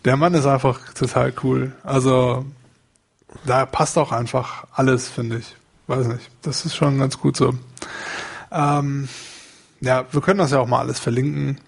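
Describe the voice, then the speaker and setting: A man co-hosting a casual podcast chat, relaxed, slangy and playfully teasing. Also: medium pitch, measured pace, gravelly, breathy edge. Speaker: podcast co-host.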